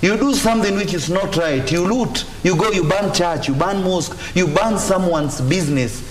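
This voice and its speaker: A man's voice: sits high, moderate pace, roomy sound, over a noisy crowd. A man with a Kenyan accent, a religious leader giving impassioned, repetitive, exhorting answers in a TV interview. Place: TV studio. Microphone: lapel mic.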